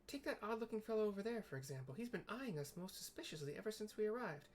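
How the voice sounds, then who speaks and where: A man's voice: sits high, animated, fast talker, slight background noise, voice pushed up. A man voicing a cheerful, chatty young woman receptionist in a video game, with polite, exclaiming speech. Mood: uneasy. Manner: bright, exclaiming, formal polite wording.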